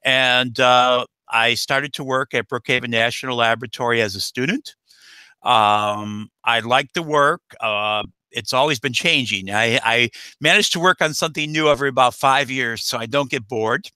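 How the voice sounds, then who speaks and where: A middle-aged American man, a guest expert answering interview questions in a halting way, full of uh and um fillers. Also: medium pitch, sharp tone, smooth, dry recording. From United States. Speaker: guest expert, nuclear engineer.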